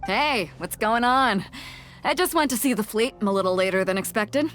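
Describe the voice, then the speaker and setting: A woman's voice: fairly high pitch, normal speed, fairly bright tone, lightly acted. An actress playing a tough, confident woman fleet captain in a video game, blunt and colloquial in manner. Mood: breezy. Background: music.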